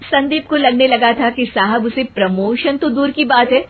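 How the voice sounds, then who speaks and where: A woman narrating a moral cartoon story in a scripted storytelling style, with a slightly husky voice. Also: on the high side, normal speed, a little hiss or hum. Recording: tinny phone-like sound.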